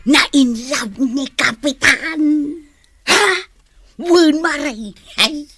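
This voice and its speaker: A woman's voice: high-pitched, extremely slow, bright, forceful tone, no echo, full character voice. A woman acting as a gossipy village rumour-monger in a comedy drama, giggly and full of exclamations. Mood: gossipy, amused.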